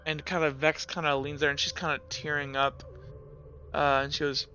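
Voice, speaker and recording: A man narrating a tabletop game as game master, improvising in a halting, stop-and-start way. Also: on the high side, level tone, sharp tone, lo-fi sound, slight background noise. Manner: halting, filler-heavy storytelling.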